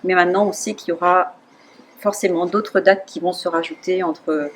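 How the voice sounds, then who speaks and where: A woman's voice: on the low side, steady tone, normal speed, slight background noise. A woman organizing a charity event, announcing dates and thanking volunteers in a friendly, encouraging way.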